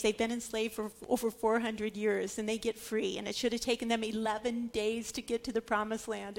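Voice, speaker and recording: A woman with a notably high voice delivers a teaching sermon. She leans dramatically on key words and often turns to her listeners with rhetorical questions, in the manner of a preacher explaining scripture. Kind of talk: sermon with Bible history teaching.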